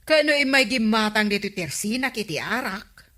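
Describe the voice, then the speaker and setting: A woman's voice: a little high, expressive, normal speed, bright, forceful tone. A woman playing a chatty, teasing village store woman in a comedy radio drama, full of questions, with an Ilocano accent. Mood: gossipy.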